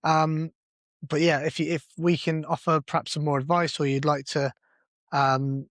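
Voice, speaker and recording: A man's voice: fairly high pitch, little pitch movement, normal speed, a bit bright, no echo. Man, podcast co-host, blunt, swears casually, dry studio sound. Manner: blunt and swearing, self-deprecating.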